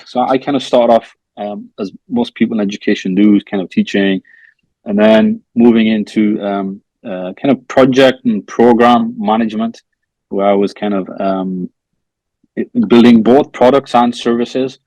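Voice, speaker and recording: A soft-spoken man answers interview questions over a video call. His voice is low-pitched and quiet, heard in a dry, close sound. He talks in a measured way, padding his phrases with "kind of" and "um". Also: steady tone, dry recording, low-quality recording. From Newcastle, northeast England. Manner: measured, many fillers like "um" and "kind of". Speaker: interview guest, product manager.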